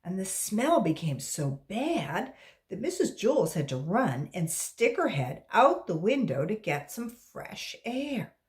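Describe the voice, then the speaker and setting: A woman reading a story aloud to young children, expressive and emphatic, as a volunteer reader. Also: mid-range voice, unhurried.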